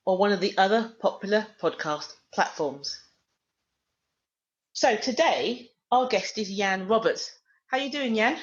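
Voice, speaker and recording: A woman hosting a podcast, reading a scripted introduction and then interviewing a guest in a measured, clear way. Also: mid-range voice, highs cut off. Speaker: podcast host.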